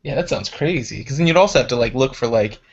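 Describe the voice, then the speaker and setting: A man co-hosting a casual podcast, halting and jokey as he searches for his words. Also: mid-range voice, animated, dull audio. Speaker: podcast co-host. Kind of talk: casual chat.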